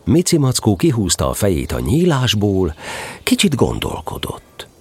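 A man narrating a children's storybook tale in an audio drama, with expressive scripted delivery. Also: lowish voice, husky, full character voice. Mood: storytelling.

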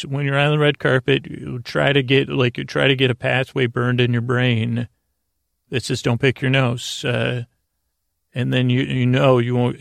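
A man hosting a sleep podcast, rambling off the cuff in a halting, meandering way with stammers and repeated words. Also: mid-range voice, no room sound, studio quality. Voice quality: creaky, gravelly. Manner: deliberately slowed-down delivery. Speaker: podcast host.

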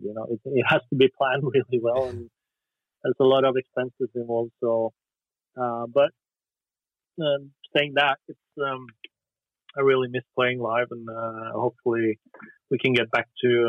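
A Norwegian musician talking casually in an interview, hesitant, with lots of fillers and restarts. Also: male voice, medium pitch, no echo. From Norway. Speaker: musician guest. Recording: dry tinny phone line.

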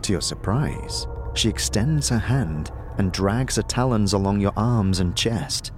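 A man's voice: lowish voice, expressive, measured pace, slight background noise. A man narrating a scripted fantasy audio drama in the manner of a storyteller. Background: music.

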